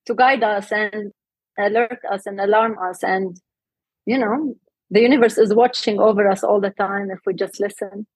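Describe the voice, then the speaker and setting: A middle-aged woman from Lebanon, a health coach and guest, talking in a relaxed interview and laughing often. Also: medium pitch, moderate pace, slightly rough, no echo, rough recording. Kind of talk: casual interview talk.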